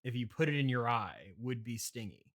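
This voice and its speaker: A male interviewer with a bright, forward voice at a middling pitch. He hosts a comic podcast conversation with a straight face, feeling his way through probing questions in a halting, politely puzzled manner. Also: lively intonation. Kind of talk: deadpan improvised comedy interview.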